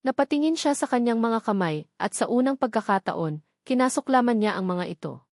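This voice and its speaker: A woman's voice: a little high, expressive, a little fast, a little mellow, no echo, clean studio sound. A woman with a Filipino accent reads a scripted romance drama as a storyteller, in a dramatic narrating style.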